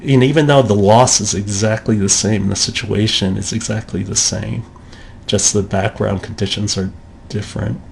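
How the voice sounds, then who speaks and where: A man's voice: fairly low pitch, steady tone, moderate pace, vocal fry, slight background noise. A man giving a recorded college lecture in a conversational way, acting out the thoughts of shoppers in quotes.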